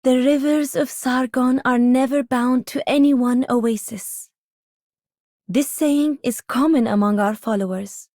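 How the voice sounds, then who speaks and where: Slow-spoken, serene sage. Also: female voice, high-pitched, warm tone, dry recording, clean studio sound. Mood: serene, devoted. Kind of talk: scripted game character voice line.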